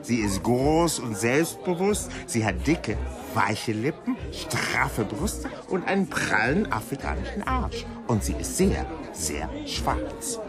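A man's voice: mid-range voice, sing-song, takes it slowly, hardly any pauses, over music. A man playing a sly, mocking Nigerian fixer in a radio play, with a taunting, insinuating way of talking.

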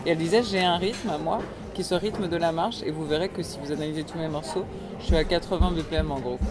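A woman's voice: sits low, fast talker, music in the background. A woman musician and radio host giving a casual interview, thinking aloud with many fillers and restarts. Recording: noisy street recording.

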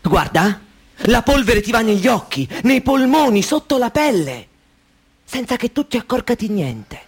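An actor playing an anxious, controlling man in a radio play, who talks insistently and broods, dropping to a hush and then pressing hard. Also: extremely high pitch, very animated, a little slow, slightly rough, exaggerated voice. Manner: brooding, insistent, swings between hush and urgency. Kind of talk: Italian radio play acting.

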